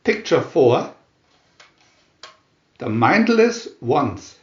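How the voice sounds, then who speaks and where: A man narrates comic book captions in a theatrical announcer manner, very slowly and with long pauses. His voice is a bit high for a man and swings widely in pitch. The room adds noticeable echo. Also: very animated, muffled recording. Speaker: narrator reading View-Master booklet captions.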